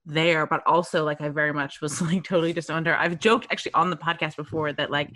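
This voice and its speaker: A woman hosting a podcast, chatting casually in an animated way, full of "like". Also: low-pitched, quick pace, no room sound. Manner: animated, lots of "like". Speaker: podcast host. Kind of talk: casual chat.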